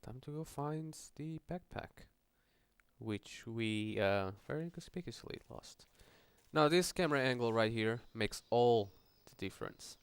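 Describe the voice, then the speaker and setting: Clear-voiced man doing casual game commentary. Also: medium pitch, expressive, a little slow, no room sound. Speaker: gaming video host.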